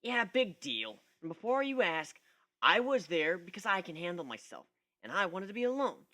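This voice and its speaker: Man acting tough young female griffin, brusque, teasing, bright forceful tone, swinging pitch, amateur storybook reading. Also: sing-song, moderate pace, heavily acted. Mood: gruff, dismissive.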